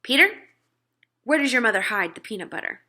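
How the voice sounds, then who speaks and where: Woman acting proudly overconfident storybook dad, bright and animated. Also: on the high side, brisk, pitched above the performer's own voice, full character voice. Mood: stern, brisk. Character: cheerful, overconfident middle-aged father, proud then flustered. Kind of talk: children's storybook read-aloud.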